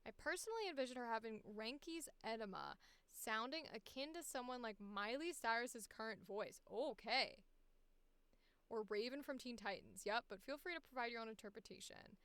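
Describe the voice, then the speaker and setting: A woman's voice: a little high, lively intonation, normal speed, a bit bright, clear, resonant tone, no echo. A woman voice actor chatting casually on a livestream, reading casting calls aloud and musing to herself as she goes. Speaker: voice actor streaming auditions.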